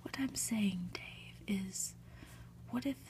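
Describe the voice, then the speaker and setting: A woman voicing an ominous, pompous male professor in a breathy storybook whisper, lecturing with drawn-out pauses. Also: mid-range voice, darkish tone, natural voice.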